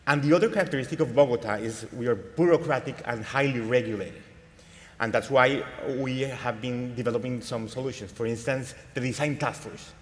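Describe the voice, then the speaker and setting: A man's voice: mid-range voice, brisk. Colombian man on a panel. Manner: earnest, repeats words while searching. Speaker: panelist, innovation lab director. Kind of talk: conference panel talk. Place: large conference stage. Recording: long hall reverb.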